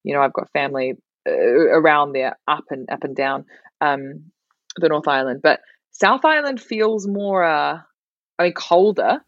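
A young woman with a New Zealand accent talks casually as a podcast guest, laughing a lot and starting sentences over. Her voice sits low. The recording is dry and slightly dull. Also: animated, measured pace, dry recording. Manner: chatty, laughs often, many restarts. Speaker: guest, comedian.

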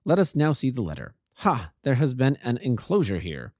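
A man's voice: medium pitch, wildly swooping pitch, normal speed, a little mellow, no room sound, clean studio sound. A man doing a cool, clipped Victorian detective. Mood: measured. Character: cool, lordly Victorian detective, middle-aged.

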